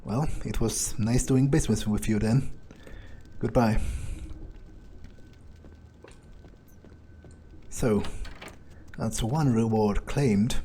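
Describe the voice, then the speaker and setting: A man role-playing a cocky, newly turned young vampire in a video game, musing casually and sardonically with hesitant pauses. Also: mid-range voice, warm tone, slight background noise, natural voice. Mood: casual, businesslike. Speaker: gamer role-playing his character. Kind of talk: in-character video game playthrough, improvised musing.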